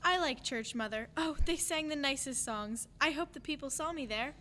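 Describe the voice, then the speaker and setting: Bright, high-voiced sweet child. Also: female voice, normal speed. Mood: innocent, earnest. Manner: earnest, innocent. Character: sweet, dutiful, devout children of a poor family. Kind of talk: old-time radio drama acting. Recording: reverberant hall, faint noise.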